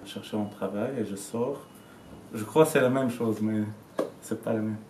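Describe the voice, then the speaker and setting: A man's voice: lowish voice, normal speed, a little mellow. A man in his twenties from Syria, speaking French as an interviewee, searching for words but talking with conviction. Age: 20s. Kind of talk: casual testimony in a learned language. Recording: roomy, distant mic, faint noise.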